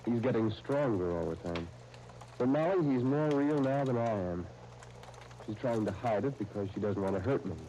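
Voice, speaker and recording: An actor playing an earnest, wounded young husband with halting delivery in an old-time radio drama. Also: male voice, medium pitch, expressive, warm tone, a little hiss or hum, lightly acted, poor audio. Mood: brooding, resigned. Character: earnest, long-suffering young husband Chris, hurt but devoted.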